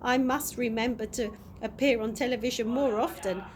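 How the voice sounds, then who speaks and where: A woman's voice: a little high, fairly even intonation, normal speed, lightly acted. A woman reading the part of a cheerful, vain male tramp in a storybook, with polite, old-fashioned manners. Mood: delighted, grand. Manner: polite, old-fashioned, grandly self-pleased. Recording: audible background noise.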